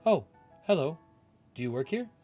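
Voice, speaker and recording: A man's voice: fairly high pitch, sing-song, normal speed, warm tone, pitched above the performer's own voice. A man voicing a polite, breezy female shop customer in a visual novel, with a light manner. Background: game music.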